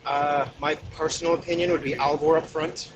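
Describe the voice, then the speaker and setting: A man's voice: a little high, little pitch movement, fairly quick, background noise. A man playing a tabletop game, chatting casually as he explains tactics and advises the others. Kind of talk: casual game strategy chat.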